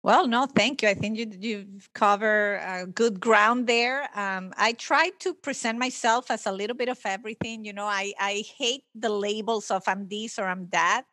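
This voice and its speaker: A woman's voice: mid-range voice, normal speed, few pauses, bright, forceful tone, dry recording. A woman from Venezuela, a company head and podcaster, chatting easily as a podcast guest with frequent laughs and restarts. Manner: friendly, laughs often, many restarts. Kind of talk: casual interview talk.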